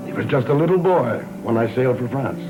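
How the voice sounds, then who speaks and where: A man playing a grave, measured older father, long thought dead, in a 1960s gothic soap opera. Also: medium pitch, lively intonation, highs cut off, close to an everyday voice. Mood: courteous. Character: solemn long-lost father back from presumed death. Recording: old TV soundtrack with music.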